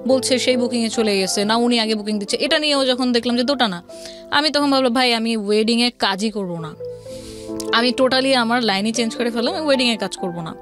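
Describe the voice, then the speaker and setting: Female interview guest, Bangladeshi accent, fairly high voice, personal storytelling with English mixed in, clear music bed. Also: a little fast. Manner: mixes English words into speech. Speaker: interview guest, event planner. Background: background music.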